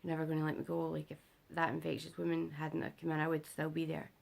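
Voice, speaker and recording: A young British woman giving a casual vlog update, her voice rough and worn because she is unwell and has not slept, rambling with lots of fillers. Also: completely flat delivery, fast talker, darkish tone. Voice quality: rough gravelly voice. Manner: rambling, filler-heavy, low-energy. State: unwell and sleep-deprived. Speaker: vlogger.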